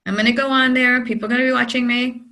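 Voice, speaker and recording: A woman practitioner guiding a client through an EFT tapping session, giving calm phrases for her to repeat. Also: fairly high pitch, very fast.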